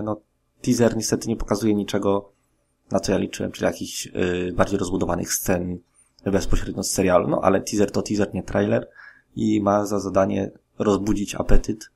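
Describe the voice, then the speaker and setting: A man giving a casual review as a podcast guest, hesitant and halting as he thinks aloud. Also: fairly low pitch, level tone, gravelly, darkish tone, breathy edge.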